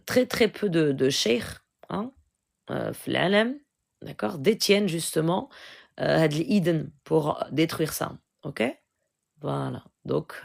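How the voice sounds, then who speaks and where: A woman's voice: fairly low pitch, gravelly. A middle-aged woman with a Moroccan accent, hosting a live stream and advising viewers, peppering her talk with "euh" and "d'accord?" asides. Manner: code-switching, frequent "euh" and "d'accord?" asides.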